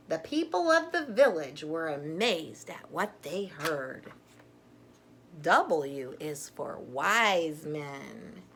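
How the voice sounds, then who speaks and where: Animated woman reading to young children. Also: on the low side, husky. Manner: simple, emphatic phrasing addressed to young children. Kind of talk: children's story read aloud with informal show-and-tell asides.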